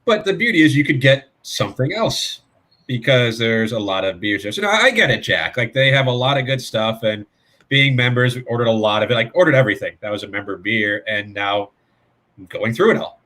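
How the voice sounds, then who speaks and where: A man's voice: medium pitch, lively intonation, a little fast, pushed and bright. A man co-hosting a casual online drinks show, easygoing and self-mocking. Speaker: co-host.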